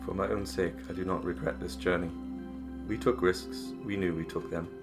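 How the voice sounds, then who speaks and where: A man playing a dying polar expedition leader in a dramatic stage reading, weary but stoic, speaking in a solemn, formal, measured way. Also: deep voice, steady tone. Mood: resigned, dignified. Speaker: amateur theatre actor. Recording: prominent music under the voice.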